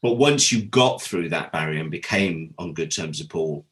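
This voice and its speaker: A British man with a low voice speaks as a guest in a relaxed interview. He tells behind-the-scenes stories conversationally, with animated delivery and quoted exchanges acted out. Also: moderate pace. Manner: animated storyteller, acts out quoted dialogue. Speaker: interview guest, former audio drama producer. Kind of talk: casual anecdotal interview chat.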